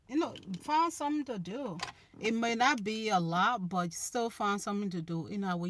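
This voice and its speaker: A woman's voice: mid-range voice, a little hiss or hum. A young Liberian woman with a Liberian accent, hosting a casual live chat about relationships and giving earnest advice, restarting her phrases often. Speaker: relationship channel host. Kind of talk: casual live-stream chat answering viewers.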